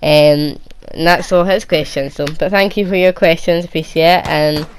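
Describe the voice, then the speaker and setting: A woman's voice: low voice, steady tone, moderate pace, slight background noise, poor audio. A young woman with a Scottish accent from Edinburgh, answering viewer questions in a chatty, rambling way. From Edinburgh, Scotland. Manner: chatty, rambling, lots of restarts and "like". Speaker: gaming YouTuber. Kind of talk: casual Q&A chat.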